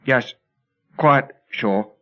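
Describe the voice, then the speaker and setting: A man's voice: mid-range voice, drawn-out words, pushed and bright. A man playing a plucky, cheeky Cockney in a radio serial, chirpy and full of slangy exclamations, unimpressed by threats. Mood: guarded. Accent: English Cockney. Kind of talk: vintage radio serial acting. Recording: dull, band-limited old radio recording.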